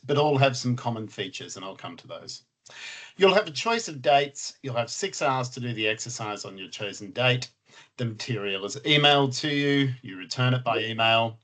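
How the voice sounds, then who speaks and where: A man's voice: mid-range voice, fairly bright tone. A man, a lawyer, explaining a process plainly and in order during a webinar. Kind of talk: presenting in a webinar.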